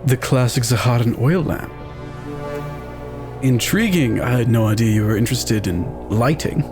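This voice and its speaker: A man voicing a suave, guarded curator with a measured, dry, formal way of talking. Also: medium pitch, music in the background, lightly acted. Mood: intrigued.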